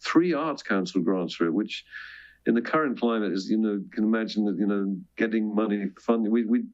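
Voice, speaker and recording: A man's voice: fairly low pitch, brisk, muffled recording. A British man talking as an interview guest, telling stories in a rambling, self-interrupting, self-deprecating way. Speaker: guest, composer and choir director. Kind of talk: interview conversation, personal storytelling.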